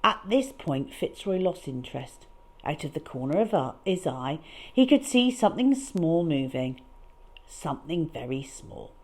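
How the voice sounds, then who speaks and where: Animated woman reading a children's story. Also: low-pitched. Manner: expressive, emphatic, drawn-out key words. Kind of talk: dramatic read-aloud storytelling.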